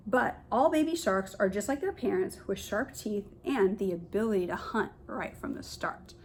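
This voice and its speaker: A woman kindergarten teacher reads a picture book aloud to young children, pausing to explain and point things out in simple words. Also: medium pitch, darkish tone, a little hiss or hum.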